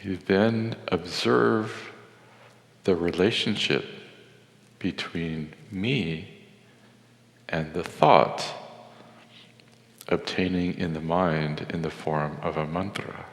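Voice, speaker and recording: A man leading a guided meditation in a measured, contemplative way, with deliberate pauses. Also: deep voice, steady tone, vocal fry. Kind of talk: guided meditation with Sanskrit chanting. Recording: echoey hall with long reverb.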